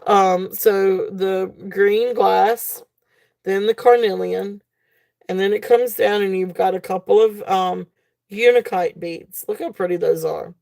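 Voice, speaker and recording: Woman, live sale host, mellow gravelly voice going hoarse, chatty with plenty of fillers. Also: medium pitch, steady tone, slow pace. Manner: chatty, fillers, reads comments aloud. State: losing her voice. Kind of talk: live auction selling chat.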